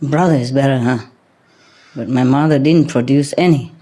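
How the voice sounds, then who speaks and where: A woman's voice: very deep voice, moderate pace, mellow. A woman spiritual teacher chatting casually with a group, laughing often and teasing her listeners.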